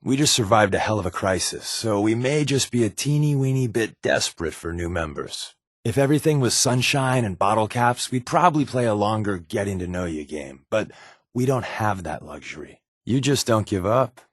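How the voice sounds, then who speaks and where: A secretive spy companion from a video game, glib and irreverent. His voice sits a little low and is recorded close and dry. He talks in a sardonic, offhand way, like someone used to working undercover. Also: lively intonation, moderate pace, no echo. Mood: earnest, confiding. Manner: sardonic, offhand, conversational delivery.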